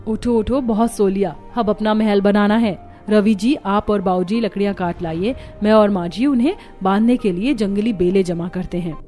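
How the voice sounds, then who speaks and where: A woman playing a cheerful, resourceful young daughter-in-law in a Hindi cartoon story, speaking in a brisk, instructive way. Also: medium pitch, mellow, lightly acted. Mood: upbeat, reassuring. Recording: music behind the voice.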